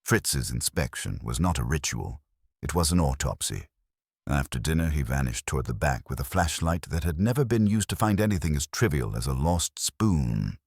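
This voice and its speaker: A man reading scripted detective fiction in a measured, wry first-person manner, as an audiobook narrator. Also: a bass voice, big pitch swings, a little mellow, husky, dry recording, clean studio sound.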